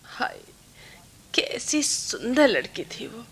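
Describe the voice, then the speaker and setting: A woman's voice: fairly high pitch, big pitch swings, slow, fairly bright tone, slightly rough, heavily acted. A woman actor playing an anxious, lovelorn young woman confiding in her friend in a Hindi radio play, with an Indian accent. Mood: worried, confiding. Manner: fretful, hesitant, confiding.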